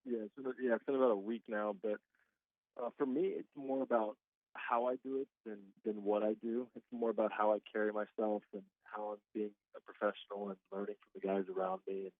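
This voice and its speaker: A man's voice: fairly low pitch, brisk, no room sound. A man of twenty-five, a guest baseball player, talking casually by phone and laughing often. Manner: relaxed, chatty, laughs often. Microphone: phone call. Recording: tinny dry phone line.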